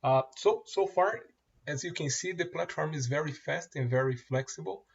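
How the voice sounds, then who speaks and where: Man, native Portuguese speaker, software demo, husky, hesitant with fillers, dull lo-fi mic. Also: a little high. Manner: halting, many fillers and restarts. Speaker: software presenter. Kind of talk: screen-share product demo.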